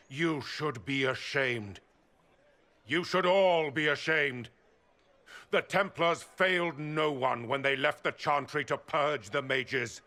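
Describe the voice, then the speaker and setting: An actor playing an arrogant, contemptuous templar commander in a fantasy game, speaking with haughty scorn. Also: male voice, mid-range voice, sharp tone. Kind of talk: fantasy game cutscene acting.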